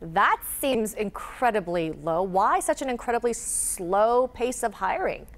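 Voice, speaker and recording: A woman's voice: mid-range voice, lively intonation, a little slow. A woman anchoring a live news interview, asking questions in a conversational way.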